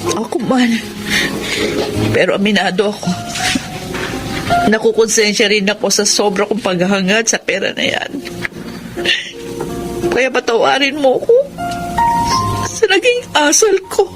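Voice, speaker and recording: Actress for soft-hearted, apologetic young wife in radio drama; earnest, mid-pitched, music underneath. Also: female voice, lively intonation, unhurried, pauses often, close to an everyday voice, low-quality recording. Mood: remorseful. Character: Rosie, humble, remorseful young mother and wife. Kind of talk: Tagalog radio drama acting.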